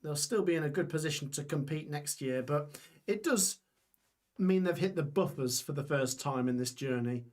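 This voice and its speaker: A young man hosting a live football watch-along stream, reading out scores and chatting with viewers while his voice gets hoarse. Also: a little high, normal speed, darkish tone. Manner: chatty, reads scores aloud, reacts to chat. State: voice going hoarse.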